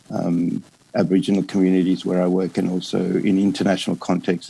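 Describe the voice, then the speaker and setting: An Australian man in his fifties delivers a conference lecture in a deep, mellow voice. His delivery is hesitant and halting, with many pauses and restarted words, and his intonation stays quite flat. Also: gravelly, rough recording. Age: 50s. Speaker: psychiatrist and addiction specialist giving a lecture.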